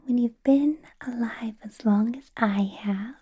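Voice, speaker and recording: A woman's voice: fairly high pitch, a little slow, dark tone, breathy edge. Woman whispering as a teasing vampire boss. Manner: whispered throughout, teasing, menacing, playful laughs. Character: centuries-old female vampire boss, teasing, possessive. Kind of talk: ASMR roleplay.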